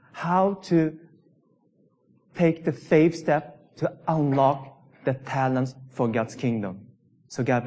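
Visiting pastor from Sweden, male, slow and halting non-native delivery in a church sermon. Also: on the high side, a little mellow.

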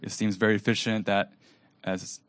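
A man whose first language is Cantonese, moderating a panel and asking a long, searching question with many pauses and ums. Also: fairly low pitch, level tone, no echo. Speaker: event moderator.

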